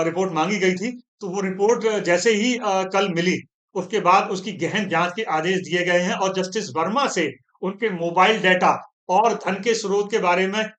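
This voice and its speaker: A man doing online news commentary, talking straight to his viewers and often pausing on hesitation fillers while he explains a story. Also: high voice, a bit bright. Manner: addresses viewers as "comrades", frequent hesitation fillers; reads out long formal statements.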